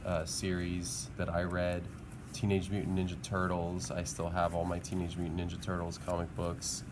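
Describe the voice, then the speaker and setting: A low-pitched man in middle age gives an informal art lesson. He talks haltingly, rewording as he thinks aloud. There is clear ambient noise behind his voice. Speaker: art teacher. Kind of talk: informal instructional demonstration. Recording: clearly noisy background.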